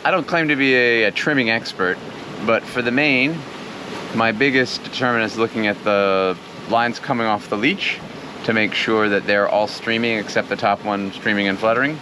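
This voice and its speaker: A man's voice: on the low side, moderate pace. Man chatting aboard a noisy sailboat. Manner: chatty and enthusiastic, with fillers. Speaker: solo sailor and channel host. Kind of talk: casual on-camera explanation. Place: sailboat at sea, outdoors. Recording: wind and water noise on a sailboat.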